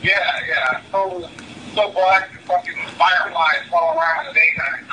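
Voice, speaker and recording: A noisy voicemail recording from inside a car carries a man joking crudely and casually. His voice is extremely high for a man. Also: fairly even intonation, unhurried, sharp tone. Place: in a moving car. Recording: noisy accidental voicemail over phone line.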